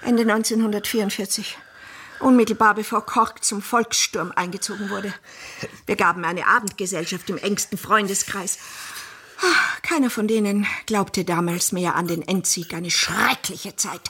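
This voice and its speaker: An actress playing a haughty elderly madame in a German radio play, imperious, brisk and theatrical. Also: female voice, mid-range voice, fairly even intonation, sharp tone, husky. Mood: indignant, reminiscing. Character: haughty elderly madame of a shabby care household.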